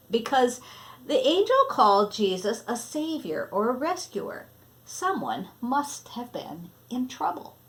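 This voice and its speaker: A woman reading a children's story aloud expressively, with deliberate phrasing that sometimes drops to a hush. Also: high voice. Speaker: storybook reader.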